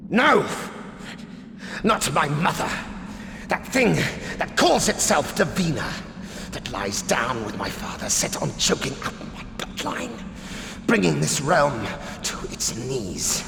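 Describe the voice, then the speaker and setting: An actor playing a desperate, weakened captive prince in a video game, with a strained, breathy, gravelly voice and a halting, theatrical delivery. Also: male voice, a little high, big pitch swings, bright, forceful tone, heavily acted. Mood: anguished fury. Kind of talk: dramatic video game cutscene acting. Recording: noisy, echoing cavernous reverb.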